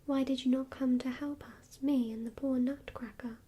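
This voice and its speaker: A woman's voice: high voice, fairly even intonation, normal speed, dark tone, husky. A woman whispering the lines of a young girl sick in bed, pleading and reproachful.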